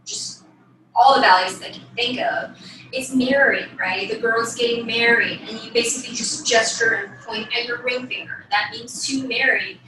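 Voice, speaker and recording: A woman's voice: on the high side, fairly even intonation, moderate pace. A young woman teaching a ballet lesson to camera, explaining casually and often checking in with a tag question. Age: young adult. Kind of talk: instructional demonstration, explaining to camera. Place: small bedroom, indoors. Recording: echoey room, distant mic, faint noise.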